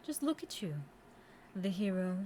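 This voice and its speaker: A woman's voice: medium pitch, animated, measured pace, warm tone, slight background noise, natural voice. A woman playing a seductive, condescending immortal Demon Queen in a theatrical, taunting audio roleplay monologue. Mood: condescending, mock pity.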